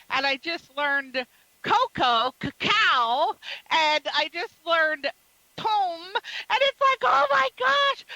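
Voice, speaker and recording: A woman's voice: sits high, pushed and bright, no room sound, poor audio. A woman hosting a radio interview show, gushing with praise and chatting casually with her guest.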